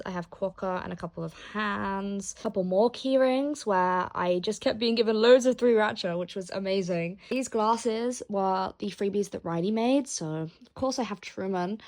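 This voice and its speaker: Hoarse, excited young woman. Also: mid-range voice, slight background noise. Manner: excited, gushing, chatty. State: hoarse, gravelly from lost voice. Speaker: vlogger. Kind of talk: casual vlog chat.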